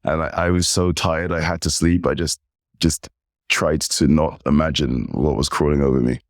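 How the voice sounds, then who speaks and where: A man in his forties with an English accent, telling stories as an animated guest in a casual interview. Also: very deep voice, normal speed, no echo, clean studio sound. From United Kingdom. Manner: animated storyteller. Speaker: podcast guest.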